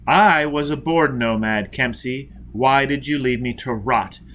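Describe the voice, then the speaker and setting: A man's voice: mid-range voice, a little slow, a bit bright, a little hiss or hum, natural voice. A man voicing a vengeful, merciless spaceman who presses his captive with blunt, taunting questions in a science fiction audiobook. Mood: relentless questioning.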